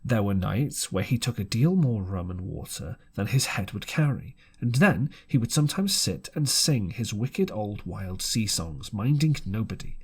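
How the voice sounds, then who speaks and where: A man narrating a classic adventure audiobook in an expressive, dramatic storytelling style, now and then stumbling over a word. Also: lowish voice, moderate pace, hardly any pauses, breathy edge.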